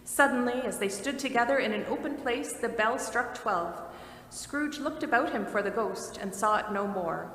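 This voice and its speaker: A woman giving a clear dramatic reading of a classic story, recorded in an echoey room with a long reverb and faint noise. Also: a little high, normal speed, fairly bright tone. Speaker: reader.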